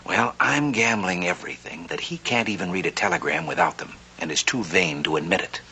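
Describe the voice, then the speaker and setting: Slick scheming banker, dry and wry, bright voice, faint music under it. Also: male voice, sits low, big pitch swings, brisk, creaky, a little hiss or hum, full character voice, rough recording. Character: smooth, scheming male banker, adult. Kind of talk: 1950s TV western acting. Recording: old TV soundtrack with faint music.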